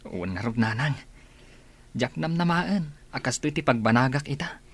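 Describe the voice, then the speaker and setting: A man's voice: medium pitch, lively intonation, normal speed, vocal fry, fairly bright tone, slightly rough, heavily acted. An actor with a Filipino accent playing an earnest, hardworking married man in a radio drama. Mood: respectful, earnest.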